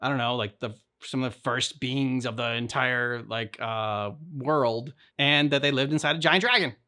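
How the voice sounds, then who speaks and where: Bright-voiced rambling man chatting casually. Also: medium pitch, smooth. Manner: rambling, halting train of thought. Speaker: reaction channel host. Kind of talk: casual reaction chat.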